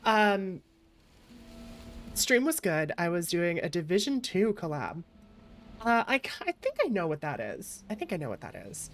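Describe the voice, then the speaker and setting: Low-pitched woman streamer, playful and chatty. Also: sing-song, measured pace. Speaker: VTuber streamer. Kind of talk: casual livestream game playthrough.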